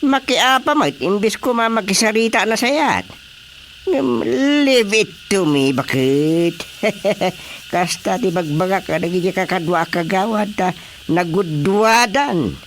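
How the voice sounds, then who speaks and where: A man acting an excitable, chatty village companion in a radio comedy drama, teasing and exclamatory, with an Ilocano Filipino accent. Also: high voice, takes it slowly, slight background noise, exaggerated voice. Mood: excited.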